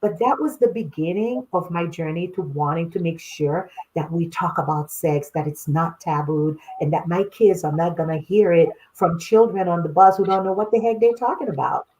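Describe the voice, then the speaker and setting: A woman's voice: fairly low pitch, normal speed, few pauses, a little hiss or hum, rough recording. A middle-aged woman from Haiti, raised in Boston, who speaks as a guest expert in a casual podcast conversation, telling personal stories with frequent laughter. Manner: animated storyteller, laughs often, addresses listeners as y'all. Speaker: guest, clinical sexologist.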